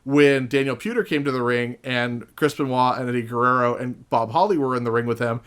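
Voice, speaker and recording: A man in a casual podcast discussion, recounting stories in an animated, profane way as a guest. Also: mid-range voice, brisk, clear, resonant tone.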